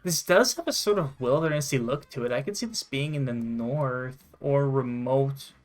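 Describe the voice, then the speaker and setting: A man chatting casually while playing a game, excitable and quick to swear. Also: mid-range voice. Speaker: gamer co-hosting a gameplay video.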